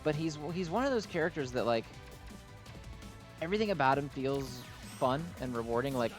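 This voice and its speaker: A man's voice: medium pitch, normal speed, music in the background. A man hosting a gaming video with casual, gushing, enthusiastic commentary, often slipping "like" into his sentences.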